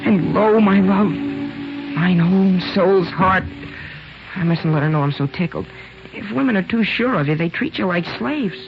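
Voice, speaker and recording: A man's voice: high-pitched, unhurried, gravelly, over music. An actor playing a lovesick, boastful sixteen-year-old American boy in an old-time radio drama, earnest and theatrical, with hesitant exclamations. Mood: impatient, yearning. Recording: dull lo-fi old radio broadcast.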